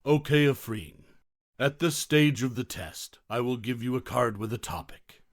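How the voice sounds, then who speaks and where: A man's voice: medium pitch, expressive, measured pace, no room sound, clean studio sound. A man playing an examiner, asking test questions in a formal, instructional way. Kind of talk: scripted mock exam questions.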